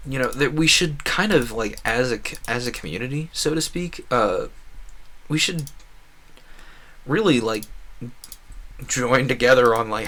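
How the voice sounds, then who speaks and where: A man's voice: medium pitch, pushed and bright. A man giving casual, earnest and opinionated commentary on a gaming stream, talking in a rambling way. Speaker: game streamer.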